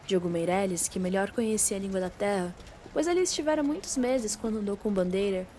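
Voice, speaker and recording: A woman's voice: medium pitch, brisk, warm tone. A young Brazilian woman reading scripted, old-fashioned literary narration for an amateur radio drama. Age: teenager or young adult. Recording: faint background noise.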